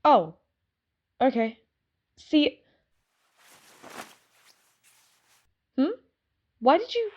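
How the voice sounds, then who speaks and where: A woman playing an excitable, dog-like young werewolf in an audio drama, talking in an informal, rambling way. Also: high voice, slow, muffled recording, heavily acted. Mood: confused. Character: excitable dog-like young female werewolf giving her statement. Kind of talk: audio drama acting, first-person storytelling.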